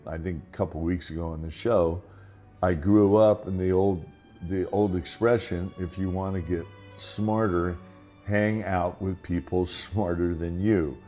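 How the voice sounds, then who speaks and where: A man's voice: sits low, a little mellow. An older man from Los Angeles hosting a show, talking in a loose, rambling monologue full of restarts and asides. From Los Angeles, United States. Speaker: podcast host. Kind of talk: casual monologue.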